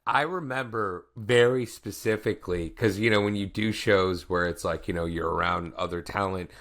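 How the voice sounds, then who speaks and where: A man's voice: on the low side, moderate pace, a bit bright. A man hosting a podcast, asking long, rambling questions full of fillers and asides. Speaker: podcast host. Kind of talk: casual interview chat.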